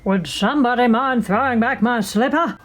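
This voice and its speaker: A woman's voice: fairly high pitch, moderate pace, faint music behind, natural voice. Woman voicing a grumpy, growling old man next door. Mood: softened, thoughtful. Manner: growling, snappish. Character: grumpy, gruff old male neighbour, reclusive and glowering.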